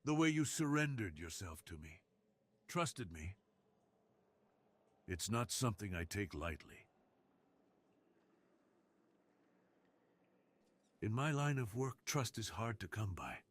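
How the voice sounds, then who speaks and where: A seductive crime boss, acted out by a man for an intimate ASMR roleplay. His voice sits on the low side, slow and hushed, slipping toward a whisper. He sounds commanding and teasing, with a tender streak under the hard exterior. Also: very animated. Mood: tender, confiding.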